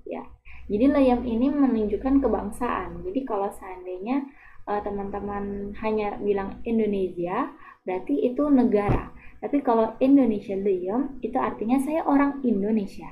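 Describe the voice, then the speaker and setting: A woman's voice: medium pitch, normal speed. A woman language teacher patiently explaining Turkish phrases, with frequent hesitations and repetitions. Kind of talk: explaining a Turkish lesson in Indonesian. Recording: tinny phone-like audio.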